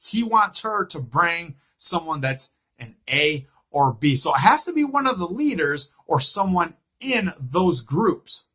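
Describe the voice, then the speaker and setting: A man delivers informal fan commentary in a forceful, slightly high voice with a rough husky edge. He is emphatic and repetitive, leaning on rhetorical questions as he works through his recap. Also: big pitch swings, unhurried, few pauses.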